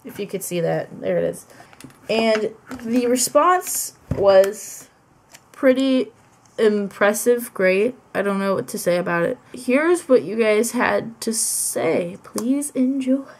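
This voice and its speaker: A woman's voice: on the high side, expressive, takes it slowly, gravelly, slight background noise. A young woman from Kentucky introducing her video in a casual, bubbly, slightly flustered way. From Louisville, Kentucky. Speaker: video creator and host.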